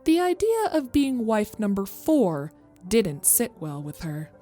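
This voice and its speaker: A woman's voice: mid-range voice, very animated, slow pace, warm tone, soft background music. A woman narrating a scripted horror story as its storyteller.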